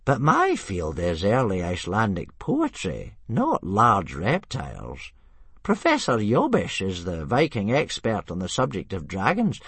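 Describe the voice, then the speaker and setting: A man's voice: lowish voice, huge pitch swings, unhurried, vocal fry, a bit bright, slightly rough, no room sound, heavily acted. A man voicing an amused, elderly Viking sage with a pedantic, gleeful manner in an audiobook. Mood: amused, scholarly.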